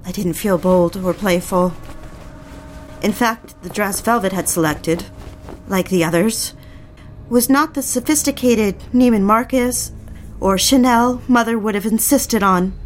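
A woman's voice: medium pitch, measured pace, a little hiss or hum, close to an everyday voice. Woman acting an anxious, hesitant young wife. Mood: brooding, confessional. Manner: hesitant, pleading, repeats herself. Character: anxious, submissive young wife recalling a troubled marriage. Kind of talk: dramatic audio fiction, dialogue and confessional narration. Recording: music behind the voice.

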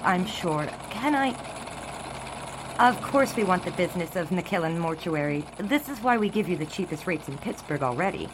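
An actress playing a brisk, long-suffering 1920s newspaper secretary in a period audio drama, dry, clipped and sarcastic. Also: female voice, fairly low pitch, background noise.

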